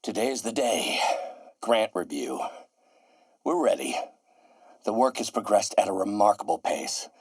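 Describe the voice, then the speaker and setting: An actor voicing a grandiose, middle-aged scientist turning villain in a video game, theatrical and erudite, with precise enunciation. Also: male voice, on the low side, animated, a little slow, long pauses, slightly rough, no echo, studio quality, exaggerated voice. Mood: excited, proud. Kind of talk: video game voice acting, dramatic monologue.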